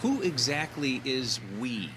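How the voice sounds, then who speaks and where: A man's voice: medium pitch, very animated, slow pace, pushed and bright, a little hiss or hum, heavily acted. An actor playing a wry, sceptical male newcomer who probes casually for secrets in an audio drama.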